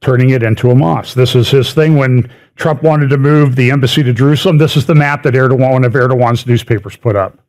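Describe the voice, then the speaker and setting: A man's voice: mid-range voice, quick pace, hardly any pauses, a little mellow. A middle-aged man giving a conversational lecture over slides, stressing words by repeating them and dropping in wry asides. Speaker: speaker giving a prophecy update talk.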